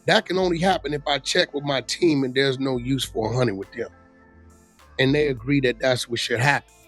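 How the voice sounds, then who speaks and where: A man's voice: medium pitch, fairly quick, fairly bright tone. A man chatting casually and bluntly, with emphasis and a lot of slang. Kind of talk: casual opinionated chat about street gossip. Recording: music playing under the voice. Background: music.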